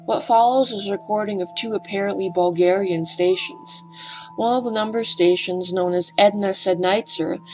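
A woman narrates an amateur documentary from a script, speaking carefully with a slight hesitancy and some breathiness. Her voice sits at a middling pitch, and music plays behind her. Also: poor audio.

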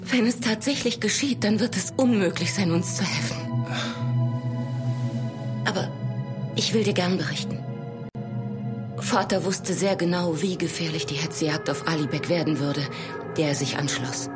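An actress playing a fearful, devout young village woman, speaking in a hushed, earnest, dramatic way in a horror radio drama. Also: lowish voice, normal speed, pauses often, close to an everyday voice. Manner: hushed, dramatic, earnest, often near whispering. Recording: prominent music behind the voice.

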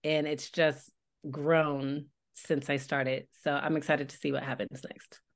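A woman's voice: low-pitched, flat delivery, unhurried. An American woman telling her story casually as a podcast interview guest. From Tulsa, Oklahoma, United States. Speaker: podcast guest, speech-language pathologist.

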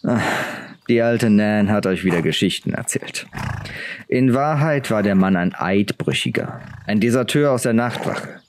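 A man voicing a solemn, stern but kindly noble father who teaches gravely, in a fantasy audiobook. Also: fairly low pitch, expressive, measured pace, a little hiss or hum. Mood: grave, instructive. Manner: grave, instructive.

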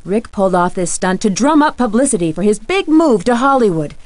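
A woman playing an earnest, sharp young female detective in a video game, speaking inquisitively and with clear diction. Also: medium pitch, sing-song, a little fast, dry recording, natural voice. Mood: grave, accusing.

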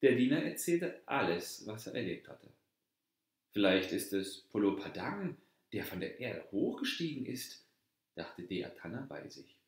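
Slow, low-voiced male storyteller in echoey room. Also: sing-song. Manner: measured, deliberate read-aloud delivery. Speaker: storyteller reading a folk tale aloud. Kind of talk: scripted fairy-tale narration.

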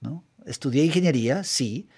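Husky, reflective Guatemalan man. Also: mid-range voice, lively intonation, normal speed, a little mellow. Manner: thoughtful, methodical, repeats words while searching. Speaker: writer, interview guest. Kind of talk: radio interview, reflective answers. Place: radio studio. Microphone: studio microphone.

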